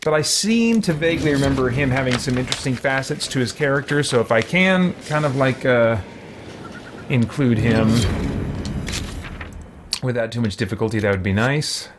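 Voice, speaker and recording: A man doing casual let's play commentary as a streamer, musing and thinking out loud. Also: medium pitch, normal speed, background noise. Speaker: gaming streamer.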